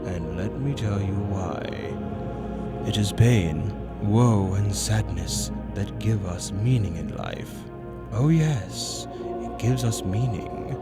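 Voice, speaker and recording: Man voicing a low, slow, grandiose demon tempter over music. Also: expressive. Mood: solemn, persuasive. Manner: theatrical, deliberate, persuasive lecturing with drawn-out emphasis. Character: male avian demon arch-tempter, grandiose philosophical seducer. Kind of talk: dark fantasy roleplay monologue, ASMR. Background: loud dark gothic music.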